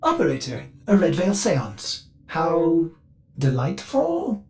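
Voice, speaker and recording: A man playing a fussy, polite, eccentric ship computer in a video game, prim and halting. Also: fairly high pitch, huge pitch swings, a little mellow, heavily acted. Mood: prim, flustered. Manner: prim, halting, sing-song. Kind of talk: scripted video game dialogue.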